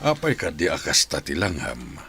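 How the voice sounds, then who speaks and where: Caring male friend, played by a man, extremely low gravelly voice, Ilocano accent, earnest, consoling radio-drama delivery. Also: wildly swooping pitch, normal speed, pushed and bright, soft background music, heavily acted. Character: caring, reassuring male friend of a troubled woman.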